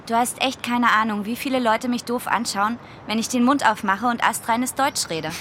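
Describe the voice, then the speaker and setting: A bold teenage girl acted by a woman in a radio drama, outspoken and direct. Her voice is high and sharp, with faint noise behind it. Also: normal speed, lightly acted. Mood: defiant, hurt. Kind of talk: German radio play acting.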